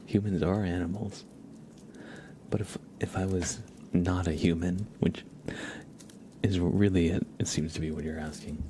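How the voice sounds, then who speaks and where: Deep-voiced man, soft ASMR half-whisper. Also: steady tone, measured pace, slight background noise. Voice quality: soft and breathy, often dropping into a whisper. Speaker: livestream host. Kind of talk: ASMR livestream, soft-spoken casual chat with viewers. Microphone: close ASMR microphone.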